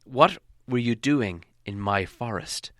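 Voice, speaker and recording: A man's voice: medium pitch, lively intonation, slow pace, sharp tone, no echo, clean studio sound. A man voicing a stern, angry elven king from a storybook, imperious and demanding as he questions a prisoner.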